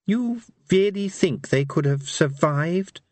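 A man's voice: a little high, animated, takes it slowly, darkish tone, dry recording, pitched above the performer's own voice. A man voicing a hard-bargaining, sceptical male minstrel in a storybook, pressing and insistent. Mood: bleak, doubting.

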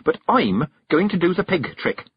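A man's voice: fairly high pitch, sing-song, slow pace, slightly rough, lo-fi sound, voice pushed up, exaggerated voice. A man voicing an earnest, stubborn teenage girl witch in an audiobook story. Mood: snappish.

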